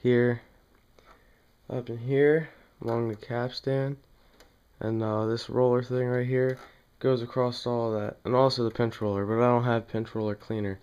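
A man giving a casual hands-on tutorial at home, talking plainly and haltingly as he works. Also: mid-range voice, little pitch movement, darkish tone, clear, resonant tone, lo-fi sound. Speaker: demonstrator in a home how-to video. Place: small dim room indoors at home.

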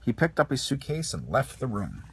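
A man narrating a children's storybook as an expressive read-along. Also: medium pitch, moderate pace, creaky, a little hiss or hum. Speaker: storybook reader.

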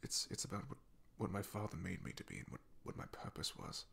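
A man playing a young, humble, devoted knight in an ASMR roleplay, soft-spoken and halting, with a breathy, gravelly half-whisper. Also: low-pitched, huge pitch swings, a little hiss or hum, full character voice. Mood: resigned, dutiful.

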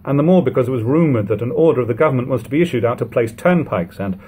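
A man in his thirties reading a classic novel aloud at home, in a measured, steady way, letting sentence ends fall quiet. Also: medium pitch, slightly rough. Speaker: audiobook reader. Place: living room at home.

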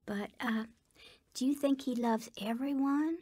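Scared earnest young girl in radio play, high voice, dry close sound. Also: measured pace, darkish tone, husky, dry recording. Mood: anxious, earnest. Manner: earnest, pleading. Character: anxious, abused young girl, earnest and timid. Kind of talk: radio drama acting.

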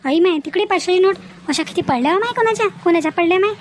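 A woman voicing a loud, talkative, feisty village housewife in a comedy cartoon, exclaiming and repeating herself in Varhadi Marathi. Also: very high-pitched, rapid-fire, a little hiss or hum. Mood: chatty, musing. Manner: chatty, repetitive, exclaiming.

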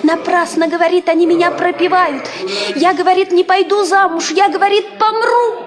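A woman's voice: extremely high pitch, fairly even intonation, moderate pace, a crowd in the background. An actress playing an anxious little peasant girl who pleads in a childlike, breathless way in an old radio play. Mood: frightened, pleading. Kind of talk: 1950s Soviet radio play acting.

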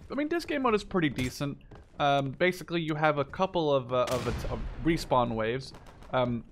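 A young man in his twenties commentates over his gameplay in a fairly high voice. He talks casually and loosely, doubling back on his sentences and tossing in swearing. Faint gunshots and clicking sit behind his voice. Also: a little hiss or hum. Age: 20s. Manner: rambling, self-correcting, swears casually. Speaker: gameplay video host. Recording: game gunfire and clicking behind voice. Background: video game gunshots, machine guns, clicks.

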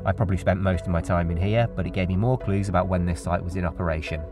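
Wry, deep-voiced man exploring ruins. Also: fairly even intonation, fast talker, over music. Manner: wry, chatty, marvels at decay. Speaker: urban explorer vlogger. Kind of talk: on-location exploring commentary and voice-over narration. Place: outdoors and in derelict sheds.

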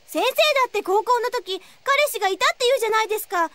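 A woman's voice: very high voice, quick pace, fairly bright tone, dry recording. A woman playing an impetuous, girlish teenage schoolgirl in an anime audio drama, eager for romance and childishly petulant. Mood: petulant, upset. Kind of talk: anime radio drama acting.

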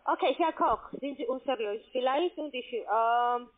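A woman making a sales call in halting, non-native phrasing. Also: high voice. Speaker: telephone sales caller. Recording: narrow-band phone line.

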